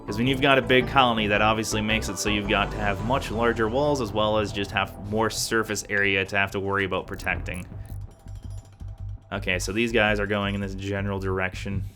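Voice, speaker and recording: A man's voice: fairly low pitch, flat delivery, brisk, sharp tone, soft background music. A man rambling casually as he comments on the video game he is playing. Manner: chatty, rambling, thinks aloud. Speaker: let's play gamer.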